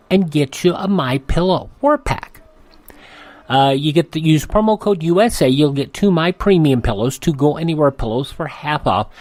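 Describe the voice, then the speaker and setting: A man's voice: fairly high pitch, no room sound. Chatty older male radio host. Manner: chatty, rambling, conversational. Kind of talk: casual ad read.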